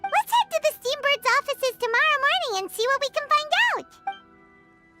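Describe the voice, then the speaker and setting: A woman voicing a small, excitable female sidekick creature in a video game who talks about herself by name. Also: normal speed. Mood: cheerful. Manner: squeaky, bouncy, refers to herself by name. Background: game music.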